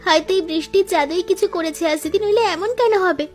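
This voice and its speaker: A woman's voice: very high-pitched, quick pace, soft background music. A woman voicing a cheerful, eager young daughter-in-law in a cartoon.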